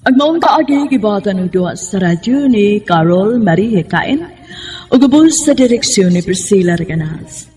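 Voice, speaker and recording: Cebuano woman radio announcer over loud music. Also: medium pitch, animated, moderate pace. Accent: Cebuano Filipino.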